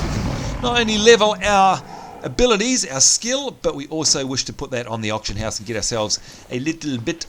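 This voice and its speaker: A man's voice: mid-range voice, fairly bright tone, a little hiss or hum. A man with a strained, nearly lost voice, chatting upbeat through gameplay commentary as he plays. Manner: chatty, upbeat, thinking aloud. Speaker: let's play host. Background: game sounds and music.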